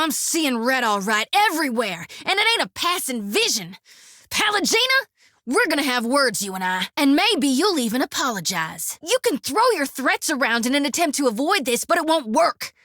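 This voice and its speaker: A devout young priestess from a fantasy game, with a blunt, folksy way of talking. Her voice sits high and leaps across a wide range. It is recorded dry and close in a studio. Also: sing-song, normal speed, pushed and bright, no echo, full character voice. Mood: indignant. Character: devout fiery young woman priest, folksy, quick-tempered. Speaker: companion character. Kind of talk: video game voice acting. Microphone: dry studio mic.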